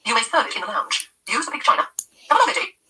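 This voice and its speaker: A woman voicing a fussy, imperious middle-aged woman who orders her housekeeper about in a shrill, haughty way. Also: big pitch swings, very fast, pushed and bright, recorded from a distance, slight background noise, heavily acted. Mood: dignified. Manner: haughty, fretful, bossy.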